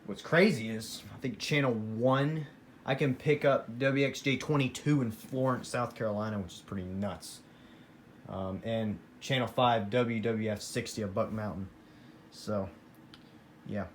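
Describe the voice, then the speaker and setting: A man's voice: on the low side, a little slow. A man with an American accent talking casually and enthusiastically in a rambling, informal hobbyist show-and-tell style. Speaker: hobbyist vlogger. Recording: noisy room with clear background noise.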